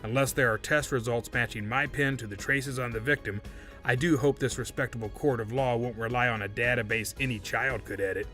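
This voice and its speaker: Man acting a smug, sharp-voiced courtroom suspect. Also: medium pitch, fairly even intonation, brisk, faint music behind, natural voice. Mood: condescending. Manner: formal, condescending, precise. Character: smug evasive male suspect on the witness stand, falsely polite. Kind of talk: audio drama acting.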